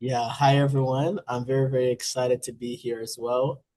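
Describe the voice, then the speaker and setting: A man's voice: medium pitch, little pitch movement, normal speed, dark tone, no echo. A teenage boy introducing himself on a video call, a bit shy and hesitant. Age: teens. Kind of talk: casual self-introduction.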